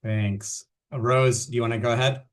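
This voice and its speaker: A man hosting a webinar panel and moderating it in a steady, procedural way, with frequent "uh" and "um" fillers, over a video call. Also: mid-range voice, moderate pace. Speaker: webinar host.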